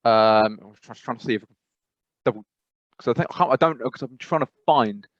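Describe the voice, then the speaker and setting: A man's voice: mid-range voice, fairly quick, dry recording. A man from England co-hosting a casual podcast, rambling and hesitant with many restarts. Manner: rambling, hesitant, many fillers and restarts, laughs while talking. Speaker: podcast co-host. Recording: dull dry lo-fi mic.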